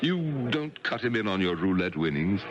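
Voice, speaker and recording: An actor playing a blunt, skeptical, wealthy banker in a radio drama, with a gravelly voice and a pompous, commanding manner. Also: male voice, on the low side, very animated, normal speed, crowd noise. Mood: brusque, imperious. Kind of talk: 1970s radio drama acting. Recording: dull 1970s radio broadcast.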